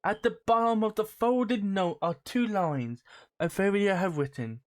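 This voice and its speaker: A woman's voice: medium pitch, animated, measured pace. A woman game streamer reading on-screen text aloud and adding playful, sweary casual commentary.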